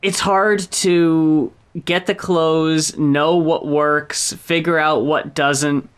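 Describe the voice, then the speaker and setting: A woman's voice: low-pitched, steady tone, very slow, clear, resonant tone. A woman co-hosting a podcast, chatting casually, blunt, swearing freely and laughing often. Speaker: podcast co-host. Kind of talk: casual chat about music.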